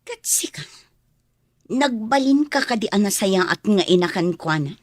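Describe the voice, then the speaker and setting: A woman's voice: medium pitch, lively intonation, normal speed, dry recording, clean studio sound, natural voice. An actress playing an indignant, confrontational mother facing her rival in an Ilocano radio drama, with an Ilocano accent and accusing delivery. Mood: indignant, scornful.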